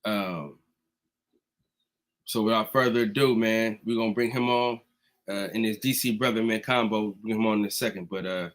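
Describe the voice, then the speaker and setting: A man hosting a podcast, opening with loud hyped greetings and talking in a loose, slangy way. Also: on the low side, steady tone, normal speed. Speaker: podcast host. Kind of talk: casual podcast chat.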